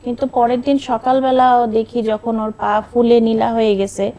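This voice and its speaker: A woman's voice: on the high side, flat delivery, normal speed, mellow, lo-fi sound. A middle-aged woman with a Bangladeshi accent, an interview guest telling a personal story conversationally and quoting remembered dialogue. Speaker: interview guest, bereaved mother.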